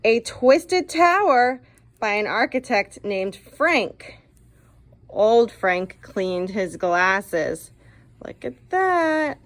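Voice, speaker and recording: Woman teacher doing a storybook read-aloud for kids, takes it slow, expressive, chats and asks questions along the way. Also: mid-range voice. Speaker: teacher reading a picture book aloud. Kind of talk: read-aloud for children with commentary asides.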